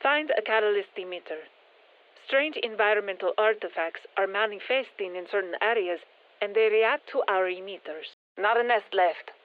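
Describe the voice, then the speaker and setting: A woman's voice: mid-range voice, normal speed. Tinny radio-filtered female military scientist. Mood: brisk, focused. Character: brisk, focused female military scientist directing squad missions. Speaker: mission control scientist. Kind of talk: scripted game mission briefing. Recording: tinny band-limited radio transmission.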